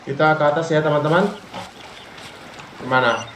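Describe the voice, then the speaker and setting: A man's voice: on the high side, a little fast, fairly bright tone, slight background noise. Indonesian man streaming a game, echoey. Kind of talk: casual live gameplay commentary. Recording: echoey room over game music. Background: game music.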